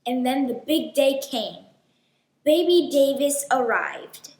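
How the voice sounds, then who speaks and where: A woman hosting a children's read-aloud, telling a storybook in a deliberate, expressive way. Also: high voice, echoey room, rough recording.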